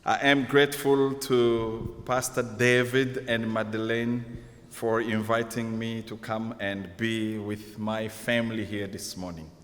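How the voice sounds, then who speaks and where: A man whose first language is Kikongo, preaching in English as a guest and pausing in short, measured phrases for an interpreter. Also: on the low side, little pitch movement. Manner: slow, measured phrases paused for translation. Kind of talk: sermon delivered in English with live interpreter. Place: church sanctuary. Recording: long church hall reverb.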